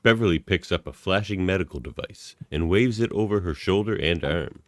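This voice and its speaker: A man's voice: sits low, lively intonation, a little fast, no echo, clean studio sound. A man reading scripted stage directions as narrator of a radio play.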